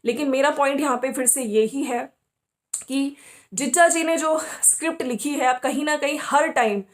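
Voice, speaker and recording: High-voiced woman giving opinionated commentary. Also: moderate pace. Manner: conversational, opinionated, often checking with listeners using tag words. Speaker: YouTube commentary host. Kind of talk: informal opinion commentary on family vloggers.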